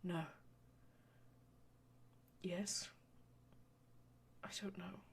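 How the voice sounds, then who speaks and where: A woman's voice: lowish voice, fairly even intonation, drawn-out words, slight background noise. A woman voicing a meek, ashamed young man. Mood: hushed, ashamed. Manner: soft, apologetic and hesitant.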